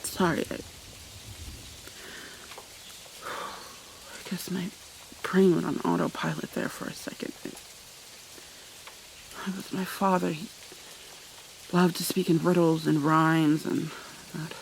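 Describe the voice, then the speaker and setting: A woman playing a possessive yandere captor in an ASMR roleplay, tender and menacing, coaxing with pet names in a soft, breathy voice. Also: fairly low pitch, flat delivery, measured pace, long pauses, a little hiss or hum, low-quality recording. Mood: subdued, confessional.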